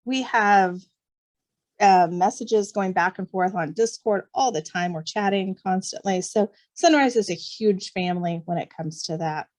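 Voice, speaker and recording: Chatty, expressive woman as podcast guest. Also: mid-range voice, unhurried. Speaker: podcast guest, novelist and kindergarten teacher. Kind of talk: casual chat.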